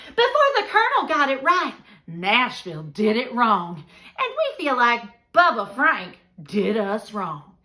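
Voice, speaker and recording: A woman's voice: fairly high pitch, pushed and bright, pitched above the performer's own voice, heavily acted. A woman playing a perky, folksy baking podcast host, speaking in an exuberant and emphatic way.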